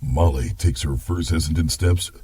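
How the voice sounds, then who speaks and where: A man with a husky voice narrating a scripted story in a dramatic style. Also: extremely low pitch, moderate pace, mellow.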